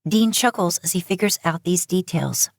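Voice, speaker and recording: A woman reading scripted fiction as a narrator, with measured storytelling delivery. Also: fairly low pitch, animated, creaky, dry recording. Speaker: podfic narrator.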